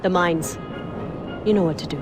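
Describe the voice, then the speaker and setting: A woman's voice: on the low side, normal speed, close to an everyday voice. A woman playing a determined, hard-edged rebel leader in a video game, speaking firmly and with urgent command. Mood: grim. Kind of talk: dramatic video game cutscene acting. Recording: game audio under loud music.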